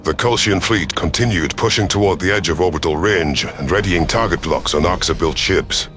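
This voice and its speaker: A man performs a proud reptilian alien commander narrating a space war story. The voice is low and stern, with formal phrasing and a gruff temper. Music sits behind it. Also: normal speed, close to an everyday voice. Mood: grave narration. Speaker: narrator in character. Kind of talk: first-person scripted narration.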